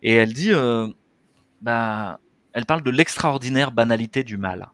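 A middle-aged man speaks earnestly as an interview guest, working through his ideas step by step. His voice sits at an ordinary middle pitch. It is picked up by a headset mic on a video call, with a dry close sound. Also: normal speed, fairly bright tone, no echo. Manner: earnest, gestures with his hands while explaining. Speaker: interview guest, anesthesiologist and author. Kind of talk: explanatory conversational interview talk. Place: small indoor room.

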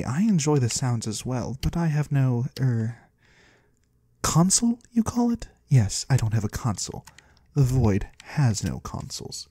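A man playing a mysterious, courteous disembodied trader of the void in a soft-spoken ASMR roleplay, husky and breathy, slow and gently curious. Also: mid-range voice, no echo. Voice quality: husky, breathy, sometimes whispering. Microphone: close, dry microphone.

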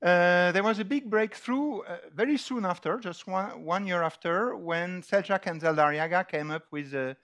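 Male scientific lecturer, French accent, slightly high register, hesitant and deliberate, presenting informally. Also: a bit bright. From France. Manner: halting, deliberate, with frequent hesitations. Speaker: lecturer opening a scientific workshop. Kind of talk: informal technical lecture with slides. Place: large dark auditorium, on stage.

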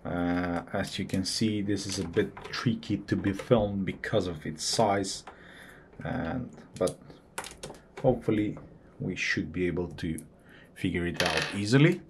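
A man hosting an electronics repair video, walking through a teardown at an unhurried pace and thinking aloud as he goes. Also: low voice, vocal fry, background noise. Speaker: electronics repair channel host. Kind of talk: hands-on repair walkthrough.

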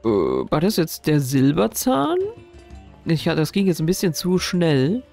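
A woman with a stuffy, sniffly nose talks through a game she is exploring, puzzling things out aloud. Her voice is mid-pitched with a gravelly edge, and faint game music plays behind her. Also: huge pitch swings, measured pace, warm tone. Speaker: gaming streamer. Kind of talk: casual game commentary.

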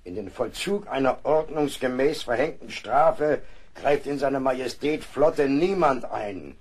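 An actor playing a seasoned ship's surgeon in an audio drama, gruff, blunt and fatherly. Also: medium pitch, heavily acted. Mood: blunt, stern. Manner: blunt, matter-of-fact, fatherly.

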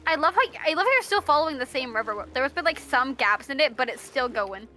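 Young woman, high and bright, giggly excitable player on voice chat with faint music behind. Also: quick pace. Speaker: fellow player. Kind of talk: casual gaming chat.

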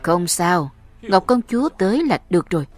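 A woman reading scripted dialogue as a voice-over for an animated fantasy series. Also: fairly low pitch, moderate pace, soft background music.

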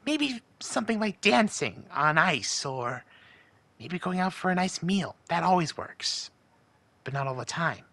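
A man's voice: on the high side, very animated, unhurried, vocal fry, sharp tone, no echo, pitched above the performer's own voice, full character voice. Man voicing a nervous, lovestruck little imp. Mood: nervous, tender. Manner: anxious, hesitant, rambling. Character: nervous, lovestruck male imp husband, fussy and sweet. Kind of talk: in-character fan monologue in cartoon style.

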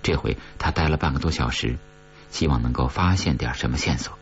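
Very deep-voiced man narrating suspense. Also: dull audio, slight background noise. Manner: measured, suspenseful delivery with deliberate pauses. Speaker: audiobook narrator. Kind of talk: dramatic horror story narration.

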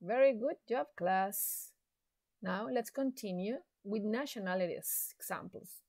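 A woman teaching an English lesson to secondary students, speaking slowly and deliberately with an encouraging classroom manner. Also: medium pitch, warm tone, dry recording, studio quality. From Chile. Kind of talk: slow recorded classroom lesson.

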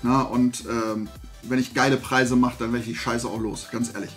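A man's voice: medium pitch, little pitch movement, moderate pace, a bit bright, soft background music. A man chats casually to camera as a vlog host, blunt, opinionated and colloquial. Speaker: host of a collecting haul vlog. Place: small indoor room lined with collectibles.

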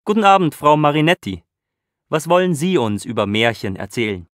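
A man playing a polite male radio host in a language-course listening exercise, speaking clearly. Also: a little high, big pitch swings, fairly quick, fairly bright tone, no room sound, clean studio sound. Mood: welcoming. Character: friendly male radio host, middle-aged. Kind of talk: scripted radio host dialogue.

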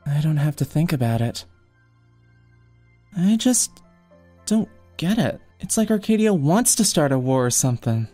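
A woman's voice: sits low, big pitch swings, measured pace, pauses often, mellow, soft background music, full character voice. A woman playing a modest, easygoing young tinkerer with a casual, unassuming manner in an amateur comic dub. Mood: wistful, reflective.

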